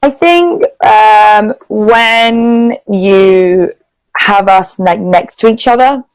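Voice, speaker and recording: Slow-paced British woman tutoring. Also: mid-range voice, clear, resonant tone, dry recording, low-quality recording. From England. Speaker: online English tutor. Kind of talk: casual conversational lesson. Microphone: video call.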